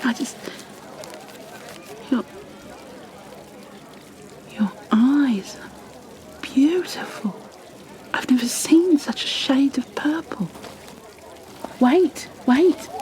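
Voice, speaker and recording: A woman playing a kind, flustered young bookkeeper in a fantasy ASMR roleplay, speaking softly and breathily, often near a whisper, with gentle hesitations and apologies. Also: a little high. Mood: flustered, apologetic. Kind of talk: ASMR roleplay, addressed to the listener.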